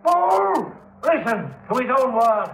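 A pompous old-school Shakespearean bully in a radio play. He declaims in grandiose, theatrical phrases with a high-pitched voice. The sound is a roomy 1950s radio recording. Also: very animated, slow, creaky, fairly bright tone, heavily acted. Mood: scornful fury. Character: pompous, tyrannical Shakespearean actor, vain bully. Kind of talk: 1950s radio drama acting.

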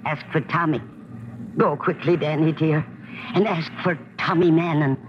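An actress playing an affectionate, coaxing flower seller in a vintage radio crime drama. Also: female voice, sits low, steady tone, moderate pace, lightly acted. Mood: urgent, fond. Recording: noisy, muffled vintage radio recording.

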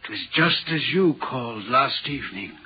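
A man's voice: mid-range voice, expressive, normal speed, husky. An actor playing an anxious, troubled railway signalman in an earnest, dramatic 1940s radio horror style. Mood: haunted, agitated. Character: haunted, anxious railway signalman telling of a spectre. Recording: dull, muffled 1940s radio recording.

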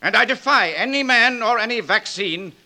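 A sharp, high-pitched, haughty doctor. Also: male voice, animated, normal speed, full character voice. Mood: defiant. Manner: formal, declamatory, precise. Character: haughty, challenging male medical academician. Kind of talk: 1940s radio drama acting.

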